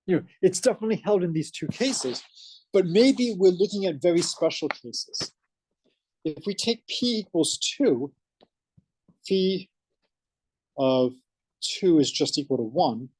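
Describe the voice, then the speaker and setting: A man's voice: sits high, unhurried, long pauses, no echo, poor audio. A man with a Boston accent giving a college math lecture, asking the class questions as he goes. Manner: energetic, asks the class questions. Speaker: math professor.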